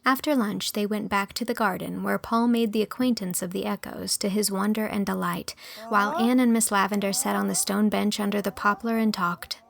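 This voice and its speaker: A woman's voice: medium pitch, steady tone, fairly quick, vocal fry. A woman reading scripted storybook narration as the narrator of an audiobook.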